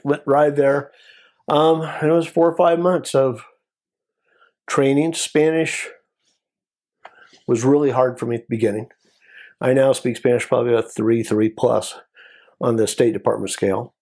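A man's voice: medium pitch, steady tone, unhurried, long pauses, a little mellow. An American man in his sixties, telling stories casually as an interview guest in short, conversational phrases. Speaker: guest, former Border Patrol agent.

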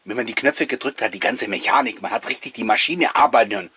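A man's voice: medium pitch, fast talker, bright, forceful tone, a little hiss or hum. A middle-aged man giving a casual, nostalgic voice-over commentary, reminiscing with wistful enthusiasm as the host. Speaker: host of a collectors' video channel.

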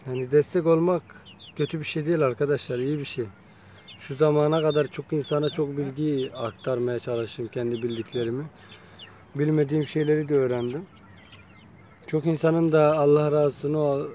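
A man's voice: medium pitch, mellow, a little hiss or hum. A man talking casually to his viewers while filming his chickens in an outdoor yard on a phone camera, chatty and coaxing. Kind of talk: casual vlog talk to viewers.

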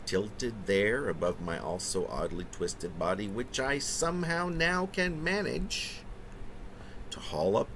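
Theatrical man reading poetry, very lively pitch. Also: takes it slowly, a little hiss or hum, rough recording. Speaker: poet reading his own poem. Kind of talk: dramatic poetry reading with conversational asides. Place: small room indoors.

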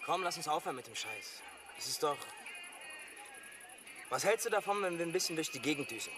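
A man's voice: fairly high pitch, animated, a little fast, music in the background, lightly acted. An actor playing a cocky, hot-headed teenage boy in a German audio drama, brash and goading. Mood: teasing, defensive.

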